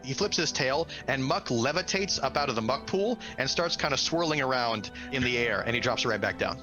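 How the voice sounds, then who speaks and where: A man's voice: medium pitch, lively intonation, brisk, music in the background. A man narrating a tabletop role-playing game as game master.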